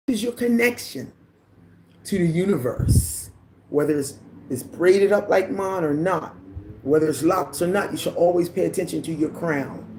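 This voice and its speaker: A woman with a deep voice hosts a casual livestream. She greets viewers by name, reads their comments aloud, and drifts into preachy asides. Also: a little hiss or hum. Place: living in the Caribbean.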